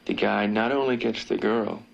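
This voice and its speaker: Low, creaky-voiced scheming lover. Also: male voice, fairly even intonation, normal speed, lo-fi sound. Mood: scheming, insistent. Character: scheming, nervy male stage actor, smooth-talking lover. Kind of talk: 1970s TV thriller film acting.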